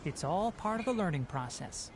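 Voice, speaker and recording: A man's voice: high voice, lively intonation, moderate pace, slightly rough. An actor playing a conscientious young male forest watch leader in a video game, articulate and instructive. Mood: encouraging. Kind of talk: English video game voice acting. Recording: game dialogue with music behind. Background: game music.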